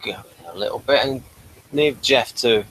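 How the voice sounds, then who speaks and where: Male review host, mid-pitched voice, casual and conversational, halting delivery. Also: fairly bright tone, slight background noise, low-quality recording. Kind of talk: casual chat in a remote co-hosted review.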